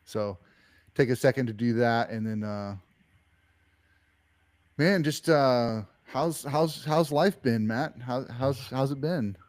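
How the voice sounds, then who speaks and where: A man's voice: medium pitch, slow, pauses often, dry recording. Easygoing man in his forties. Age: 40s. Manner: easygoing, guiding guests along. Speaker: host. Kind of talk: casual chat.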